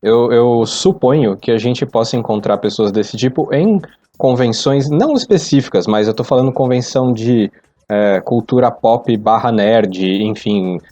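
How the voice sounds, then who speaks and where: A middle-aged Brazilian man thinking aloud in a casual, halting way on a podcast. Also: mid-range voice, warm tone, dry recording. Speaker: podcast panelist. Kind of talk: casual reflective podcast discussion. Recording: slightly dull lo-fi audio.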